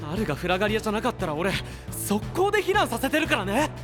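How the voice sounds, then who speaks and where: A youthful, sensible anime sidekick who looks out for his friend. His delivery is earnest and very animated over prominent music, in a scripted audio drama. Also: high voice, fast. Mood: alarmed. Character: caring, sensible young male companion of a hero. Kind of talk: Japanese anime-style voice drama acting.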